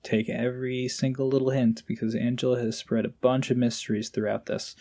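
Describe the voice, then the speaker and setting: Man chatting casually, mid-pitched voice. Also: little pitch movement, brisk, warm tone. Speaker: voice actor guest on podcast. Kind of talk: casual chat.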